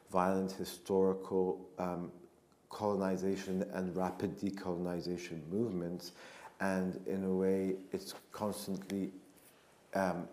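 A man speaking on a panel in a reflective, deliberate way, often halting and repeating words as he builds his point. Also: sits low, warm tone. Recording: echoey room with faint noise.

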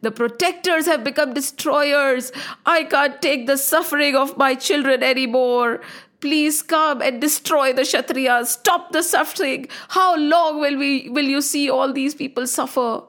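A woman voicing a pleading earth goddess, high. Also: measured pace, a bit bright. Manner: tearful pleading appeal.

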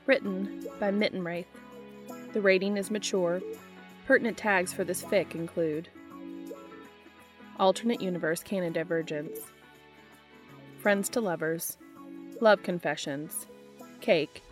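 A woman's voice: on the low side, a little slow, pauses often, soft background music. A woman reading fiction aloud as a narrator, in scripted audiobook style. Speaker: reader of fan fiction audio episodes.

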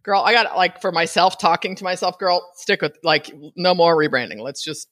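A woman's voice: fairly low pitch, moderate pace, fairly bright tone. A middle-aged woman hosting a podcast, chatting casually with frequent laughs and asides. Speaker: podcast host.

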